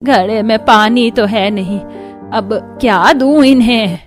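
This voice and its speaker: An elderly village mother-in-law in a cartoon , voiced by a woman, long-suffering and motherly. She laments dramatically at a slow pace, over clear background music. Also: fairly high pitch. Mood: tender.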